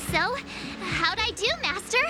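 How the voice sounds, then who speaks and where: A woman's voice: extremely high pitch, takes it slowly, rough recording. A woman playing a bubbly, eager, boastful teenage girl apprentice in video game voice acting. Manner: excitable, boastful, playful. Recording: game music behind the voice. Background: game background music.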